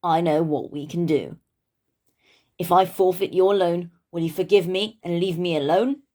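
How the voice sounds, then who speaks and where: A woman voicing a shrewd, quick-thinking male village moneylender in a children's storybook. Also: lowish voice, warm tone, lightly acted. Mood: coaxing.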